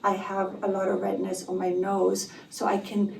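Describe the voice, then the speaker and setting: A woman's voice: medium pitch, monotone, moderate pace, gravelly, darkish tone. A middle-aged woman from Austria, a makeup artist chatting upbeat in a casual vlog and talking through her products. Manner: chatty and upbeat, with fillers like "you know". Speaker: makeup artist and beauty brand founder. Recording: distant phone mic with background noise.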